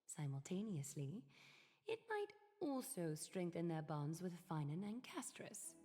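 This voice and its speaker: An actress playing an aloof, calculating noblewoman leader in a video game, speaking formally and eloquently with measured composure. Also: on the low side, big pitch swings, dark tone. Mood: cool, matter-of-fact. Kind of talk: scripted video game voice acting. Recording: long reverb, music behind.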